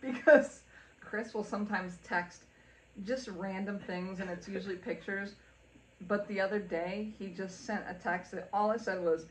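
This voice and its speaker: A woman's voice: mid-range voice, steady tone, moderate pace, a little hiss or hum, poor audio. A woman in her late thirties in a casual chat, teasing with dry quips. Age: 30s. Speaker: podcast co-host. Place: living room full of shelves.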